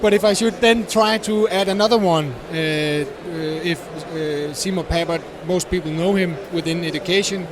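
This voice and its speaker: High-voiced, halting man over clear background noise. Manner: hesitant, halting, searching for words. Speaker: startup representative being interviewed. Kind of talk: explanatory interview answers. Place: busy tech conference venue. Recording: noisy event hall, steady din.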